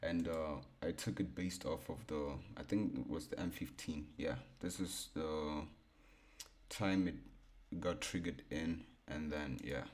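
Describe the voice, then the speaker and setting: Chill young guy, real low baritone, walking thru trades at his computer. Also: takes it slowly, darkish tone. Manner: laid-back, repeats himself, thinks aloud. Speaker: forex trading educator. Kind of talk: casual screen-share chart breakdown.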